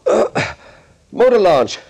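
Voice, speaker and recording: Old radio serial, desperate doctor, high, very animated, breathless and urgent. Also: male voice, takes it slowly, gravelly, full character voice. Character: desperate doctor, just freed from a gag. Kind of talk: 1940s radio serial acting.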